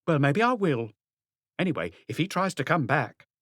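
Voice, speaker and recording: A man's voice: on the high side, big pitch swings, moderate pace, a bit bright, no echo, studio quality, pitched above the performer's own voice. A man voicing a tough, distrustful middle-aged woman with curt, scornful retorts in an audiobook. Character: stony-faced, tough, distrustful middle-aged French woman, defiant and defensive. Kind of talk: audiobook character dialogue.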